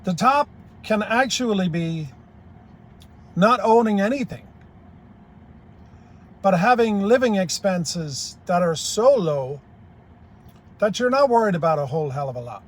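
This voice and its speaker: A man's voice: high-pitched, lively intonation, a little slow, pauses often. Blunt older Irish-born man. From Ireland. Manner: blunt, plain-spoken, rambling. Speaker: YouTube commentator. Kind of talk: opinionated monologue.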